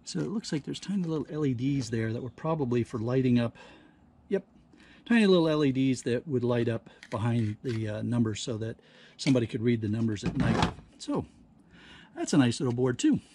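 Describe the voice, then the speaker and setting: A man giving casual hands-on demonstration commentary, halting and thinking aloud as he works at a workbench. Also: mid-range voice, lively intonation, warm tone. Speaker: host of a scrapping how-to channel. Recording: clear background noise, handling clicks.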